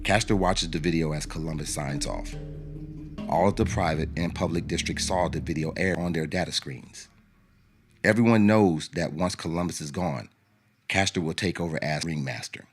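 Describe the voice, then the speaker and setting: Narrator, male, low register: measured, explanatory radio drama storytelling over a music bed.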